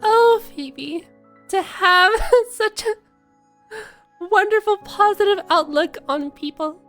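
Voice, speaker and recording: A woman streamer with an extremely high voice. She gives casual reaction commentary on a game while its music plays behind her. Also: takes it slowly, fairly bright tone.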